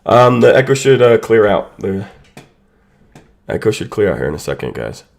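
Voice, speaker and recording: Deep, gravelly, sick-sounding streamer man. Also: very animated, moderate pace, darkish tone. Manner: greets chat by name, narrates his setup. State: sick, congested voice. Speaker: streamer hosting a watch party. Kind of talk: casual live-stream chat. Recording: echo from unmuted stream audio.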